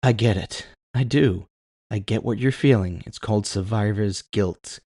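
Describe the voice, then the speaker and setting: Man voicing a blunt, fierce tough woman, gravelly. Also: on the low side, unhurried, mellow, no echo, studio quality. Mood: firm, reassuring. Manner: blunt, sweary, challenging.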